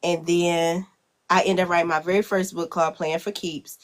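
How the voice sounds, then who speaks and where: A woman's voice: on the low side, level tone, moderate pace. A woman author getting over a cold, sounding a bit congested, talking in a rambling, hesitant way with many fillers over a video call. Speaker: guest author. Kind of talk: casual interview chat.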